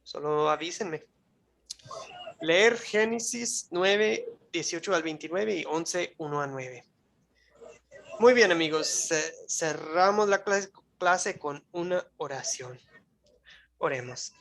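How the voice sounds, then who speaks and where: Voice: mid-range voice, slow, creaky, fairly bright tone. A Bible course teacher giving a live online class in Spanish, which is not their first language, talking conversationally, often checking in with the listeners and restarting phrases while searching for words. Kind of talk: live online class lecture, closing with a prayer.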